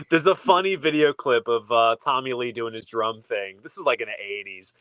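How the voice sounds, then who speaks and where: A man co-hosting a livestream in casual group chat, animated and laughing as he tells stories. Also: medium pitch, moderate pace, clean tone, no echo. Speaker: co-host of a livestream.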